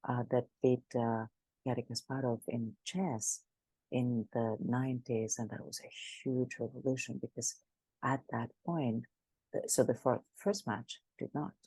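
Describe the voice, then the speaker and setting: A woman speaking as the expert guest in an interview, animated and emphatic, often restarting her sentences. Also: extremely low pitch, measured pace, slightly rough, dry recording, low-quality recording. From Greece. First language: Greek. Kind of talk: conversational interview.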